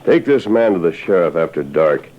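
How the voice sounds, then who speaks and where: A man's voice: low-pitched, lively intonation, normal speed. An actor playing a resolute masked lawman cowboy in old western radio drama, speaking decisively and declaiming his lines. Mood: resolute planning. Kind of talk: 1940s-style western radio drama acting. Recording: dull, noisy old radio recording.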